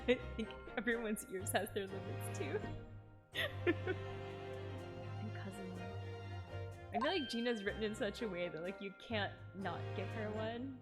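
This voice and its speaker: A woman's voice: mid-range voice, moderate pace, pauses often. A woman from Texas streaming a game, chatting casually and giggling often. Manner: chatty, giggly, full of "like" fillers. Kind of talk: casual game-stream chat and reading aloud. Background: game music.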